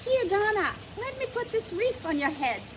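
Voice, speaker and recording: A woman's voice: extremely high pitch, big pitch swings, normal speed, background noise, voice pushed up. A woman voicing an eager, curious, affectionate little farm girl in a children's storybook, with a lilting, wondering manner. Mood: playful, excited.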